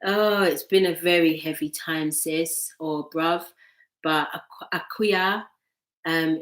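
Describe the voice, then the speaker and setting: A woman giving a live tarot reading from home on a laptop mic, veering from excited outbursts to soft murmuring. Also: deep voice. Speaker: tarot reader. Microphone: laptop microphone. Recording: echoey room, laptop mic.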